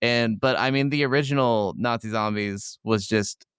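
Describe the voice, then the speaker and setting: A man's voice: medium pitch, moderate pace, fairly bright tone, clean tone, dry recording, clean studio sound. A man co-hosting a gaming podcast, chatting casually and enthusiastically with plenty of informal swearing. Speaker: podcast co-host.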